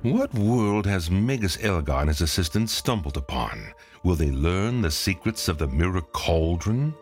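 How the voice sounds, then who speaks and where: A man's voice: deep voice, sing-song, normal speed, faint music behind. An actor playing a snarky male narrator in an audio drama, dry and sardonic.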